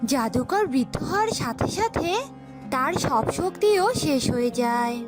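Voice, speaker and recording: A woman's voice: high-pitched, lively intonation, a little slow, over music. A woman reads scripted narration for a children's cartoon story.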